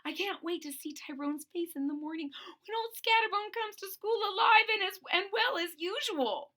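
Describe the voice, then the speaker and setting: A woman's voice: extremely high pitch, moderate pace, pushed and bright, voice pushed up. A woman voicing an earnest, worried young male dinosaur in a storybook read-aloud, pleading his case. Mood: eager.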